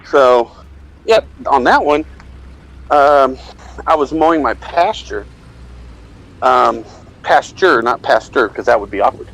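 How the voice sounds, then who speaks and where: A man's voice: mid-range voice, expressive, slow pace, gravelly, long pauses, a bit bright, low-quality recording. A middle-aged man telling a rambling, self-deprecating anecdote in a casual way, acting out bits of dialogue. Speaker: storyteller.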